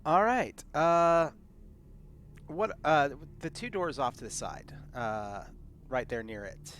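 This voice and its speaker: A man's voice: on the high side, takes it slowly, a bit bright, slight background noise. A man talking casually as a player in a streamed tabletop role-playing game.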